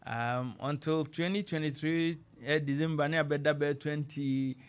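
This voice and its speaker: Ghanaian man as radio interviewer, slightly high voice, conversational delivery with short echoing interjections. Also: steady tone, normal speed, clear, resonant tone.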